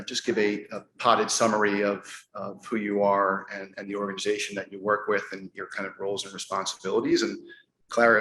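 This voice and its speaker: A man moderating a conference panel, speaking haltingly with many fillers. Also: on the low side, a bit bright. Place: conference room with live audience. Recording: echoey room, faint hum.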